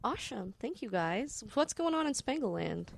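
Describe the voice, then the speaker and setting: A woman's voice: on the high side, sing-song, normal speed, vocal fry. A woman co-hosting a podcast in casual, rambling chat, with a wry, self-deprecating manner. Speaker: podcast co-host.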